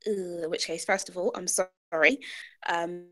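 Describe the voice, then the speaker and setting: A woman's voice: lowish voice, fairly even intonation, unhurried. A woman chatting casually as she gives feedback, apologetic and tentative in her manner. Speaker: table read participant giving feedback. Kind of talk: casual chat.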